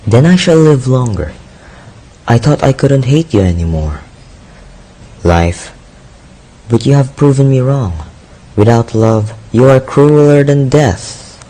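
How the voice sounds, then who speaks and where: A man's voice: mid-range voice, expressive, slow pace, pauses often, warm tone, rough recording. A man playing a heartbroken young poet in a radio drama, declaiming bitterly and in despair. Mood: bitter, defeated. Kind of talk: theatrical radio drama acting.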